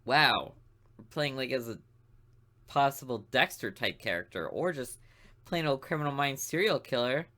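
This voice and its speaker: Low-voiced woman joking through a game. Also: animated, normal speed, fairly bright tone. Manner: joking, off-the-cuff asides. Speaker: gameplay video host. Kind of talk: reading a visual novel aloud with commentary.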